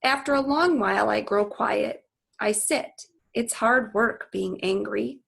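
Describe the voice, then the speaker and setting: An earnest, anguished gorilla tells his own storybook tale, read by a woman in short dramatic phrases. The voice is husky with a creaky edge, and it moves slowly. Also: medium pitch, heavily acted. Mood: weary anger.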